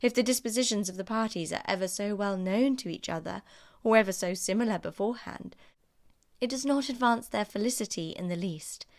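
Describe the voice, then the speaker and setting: A woman voicing a pragmatic, guarded young female friend in an audiobook novel, measured and coolly reasoning. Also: medium pitch, close to an everyday voice. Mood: matter-of-fact. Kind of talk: audiobook character dialogue.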